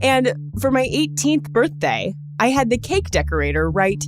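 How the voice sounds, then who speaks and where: A woman's voice: a little high, big pitch swings, moderate pace, over music. A woman hosting a podcast, reading scripted narration in a conversational storytelling style with wry, joking asides. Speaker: podcast host.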